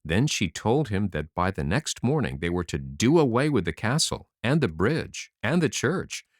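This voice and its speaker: A man narrating a fairy tale as an audiobook, in an expressive storytelling style. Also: lowish voice, moderate pace, dry recording, clean studio sound.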